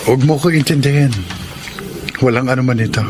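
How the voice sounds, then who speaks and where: An actor plays a troubled, evasive Filipino boyfriend in a radio drama. His middle-pitched voice broods and holds back, with clear ambient noise behind it. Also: a little fast, close to an everyday voice. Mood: brooding, evasive. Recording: noisy background ambience.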